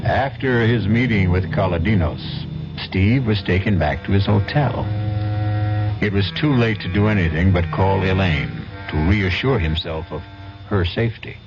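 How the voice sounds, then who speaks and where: A man narrating a 1970s radio drama in a measured, grave manner. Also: low-pitched, lively intonation. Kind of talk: scripted narration. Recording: dull old radio broadcast with loud music.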